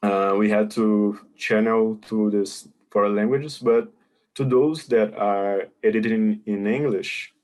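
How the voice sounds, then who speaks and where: A man's voice: fairly low pitch, steady tone, slow, a little mellow. A man presenting a webinar, patiently explaining contest rules and answering questions over a video call. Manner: patient, explanatory, hesitant with many fillers.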